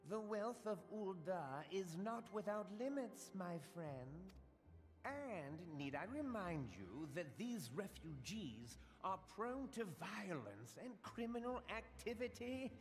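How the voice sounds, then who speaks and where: Snooty cold rich lord for a fantasy game, super deliberate, swoopy pitch, echoing hall. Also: male voice, sing-song, soft background music, heavily acted. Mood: condescending, ominous. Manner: condescending, slow, deliberate. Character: cold, haughty, wealthy male merchant lord. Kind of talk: scripted fantasy game acting.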